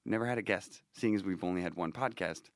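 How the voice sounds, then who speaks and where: A man's voice: sits low, quick pace, no room sound, studio quality. A man hosting a casual podcast, talking through a cold with a stuffy, nasal voice and rambling, self-deprecating asides. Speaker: podcast host.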